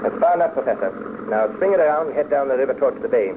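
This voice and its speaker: Man playing a ruthless radio henchman, swooping pitch. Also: animated, rapid-fire, lightly acted. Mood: grim, pressing. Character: ruthless, jumpy male murderer and foreign agent henchman. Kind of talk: 1940s radio acting. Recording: dull hissy 1940s radio recording.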